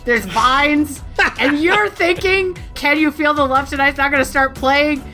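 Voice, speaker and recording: Bright, theatrical woman in comic banter. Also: high-pitched, unhurried, soft background music. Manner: mock-indignant, theatrical. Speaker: podcast panelist. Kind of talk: comedic banter and mock debate.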